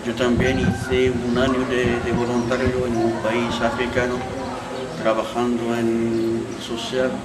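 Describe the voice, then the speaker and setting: A man from Morocco, not a native speaker of the language, answering interview questions haltingly, searching for words with many fillers. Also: medium pitch, monotone. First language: not Spanish. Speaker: faculty official interviewed. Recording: echoey room, far from mic, loud crowd noise.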